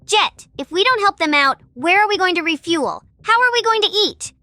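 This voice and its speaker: Woman acting a high, bright animal girl. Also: animated, normal speed, dry recording, full character voice. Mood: persuasive.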